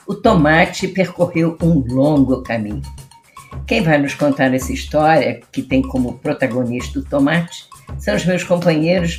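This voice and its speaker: A woman hosting a food-history podcast, introducing guests and asking questions in a friendly way. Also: low voice. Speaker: host.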